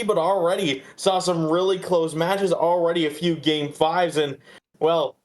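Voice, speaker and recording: Higher-voiced man talking sports casually. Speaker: sports podcast host. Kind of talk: casual sports commentary and analysis.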